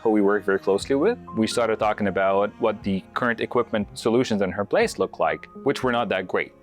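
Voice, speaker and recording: A man's voice: lowish voice, brisk. A man, a home care sales manager, talking conversationally about clients and repeating phrases for emphasis. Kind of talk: company testimonial interview. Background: faint guitar music.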